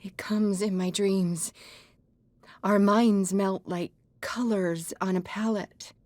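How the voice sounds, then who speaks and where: A woman acting as a fragile, half-mad painter in a video game, breathy and husky, drifting dreamily and cryptically between halting phrases. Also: medium pitch, fairly even intonation, a little mellow, dry recording, natural voice. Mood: dazed, dreamy.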